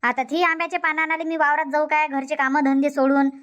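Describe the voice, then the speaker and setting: A very high-pitched cartoon housewife, acted by a woman, nags with an aggrieved air. She has a Varhadi Marathi village accent and scolds in repeated, complaining runs. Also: level tone, fast talker, fairly bright tone. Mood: nagging. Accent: Varhadi Marathi (Vidarbha). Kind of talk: Marathi comedy cartoon dubbing.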